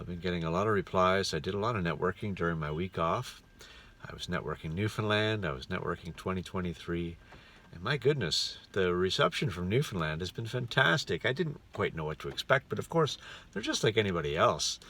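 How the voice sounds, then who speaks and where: A man's voice: sits low, fairly bright tone. A Canadian man, a touring musician, chatting casually in a folksy, rambling way for a vlog, recorded on the road.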